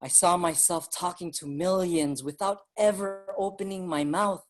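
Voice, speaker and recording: An actor playing an earnest Filipino migrant writer and activist, narrating dramatically in a staged reading. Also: male voice, high-pitched, moderate pace, natural voice. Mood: reflective, grave.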